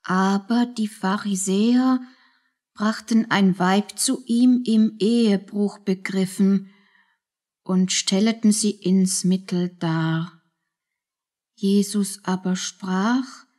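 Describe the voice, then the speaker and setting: Desperate young mom in a radio play, drags words out really slowly, solemn and tormented. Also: female voice, medium pitch, steady tone, darkish tone. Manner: solemn, anguished, drawn-out delivery. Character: guilt-stricken, desperate young mother praying over her Bible. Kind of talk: German radio play acting.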